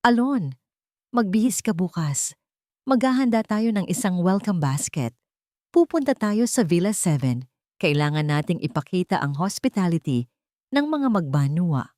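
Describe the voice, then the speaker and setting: Woman voicing a haughty, lively society matriarch. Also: fairly low pitch, normal speed, dry recording, studio quality. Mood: commanding. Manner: affected, commanding, self-important. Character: haughty, pretentious wealthy society matriarch mother-in-law.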